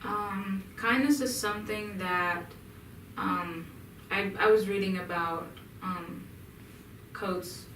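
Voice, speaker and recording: A woman's voice: medium pitch, steady tone, takes it slowly, fairly bright tone, slight background noise. Hesitant woman from Egypt, echoey room. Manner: hesitant, many ums and restarts. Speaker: interview guest. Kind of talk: casual interview answers. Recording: echoey room, mic at a distance.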